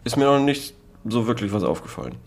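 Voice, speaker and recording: A man's voice: mid-range voice, very animated, fast, creaky, husky. A man co-hosting a podcast in casual chat, hesitant with many fillers and bluntly joking. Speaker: podcast co-host. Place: Hamburg.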